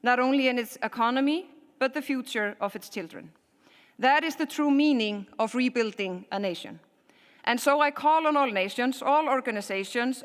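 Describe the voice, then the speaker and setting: A woman's voice: a little high, fairly bright tone. A woman member of parliament giving a formal speech in rhetorical, repeated parallel phrases. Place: large parliament meeting hall. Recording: long reverb of a large hall.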